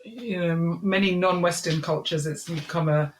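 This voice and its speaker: A middle-aged woman co-hosts a podcast in an animated, chatty manner, often restarting her sentences and laughing easily. Her voice rings out in a roomy, echoey space. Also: on the low side, normal speed. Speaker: podcast co-host. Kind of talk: casual chat.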